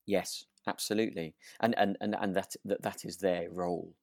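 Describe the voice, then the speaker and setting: A man with a very low, creaky voice chats casually about a radio drama as a co-host. He feels his way through sentences, repeating words, in a dry, close sound. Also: fairly even intonation, moderate pace, dry recording. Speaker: podcast co-host.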